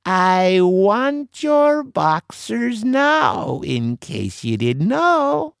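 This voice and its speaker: Bossy big-sister pirate queen done by a male reader, high-pitched, taunting, commanding storybook villainess. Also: very animated, drawn-out words, vocal fry, smooth, dry recording, clean studio sound, full character voice. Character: bossy gleeful female pirate captain, Dirty Joe's older sister.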